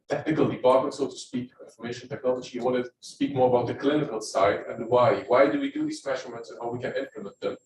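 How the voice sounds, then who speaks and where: A man's voice: mid-range voice, slight background noise. A middle-aged man with a Dutch accent, giving a conference talk standing up, in a casual, conversational way with filler words. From Netherlands. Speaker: guest speaker. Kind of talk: conference presentation. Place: lecture room. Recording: roomy hall, from far off.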